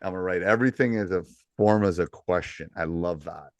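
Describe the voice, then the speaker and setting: Fifties coach guy, loud distinct voice, low, dry close mic, gets emphatic telling stories. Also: fairly quick, dry recording, dull audio. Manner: animated, storytelling, emphatic. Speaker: podcast host and basketball coach. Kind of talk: casual podcast chat.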